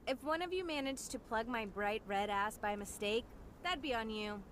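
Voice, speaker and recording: Anime game fighter, female: teasing, wry, battle-worn, high-pitched, slight noise behind. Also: lively intonation, moderate pace, a bit bright. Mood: flippant. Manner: flippant, wry. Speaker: video game voice actor. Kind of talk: anime-style game dub acting.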